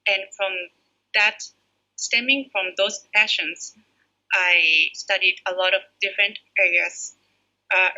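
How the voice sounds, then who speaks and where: A middle-aged woman from Japan, raised in the United States, a preschool director giving thoughtful interview answers with many pauses. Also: medium pitch, sharp tone. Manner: thoughtful, pauses often with fillers. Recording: muffled lo-fi audio.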